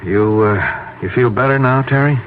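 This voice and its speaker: An actor playing a skeptical, excitable, chummy friend in a 1970s radio mystery, stammering and repeating himself as he reasons. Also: medium pitch, normal speed, close to an everyday voice. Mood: probing. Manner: animated, stammering repetitions, chummy. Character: skeptical, excitable male friend, talking through theories. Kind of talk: 1970s radio drama acting. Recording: tinny, noisy old radio broadcast recording.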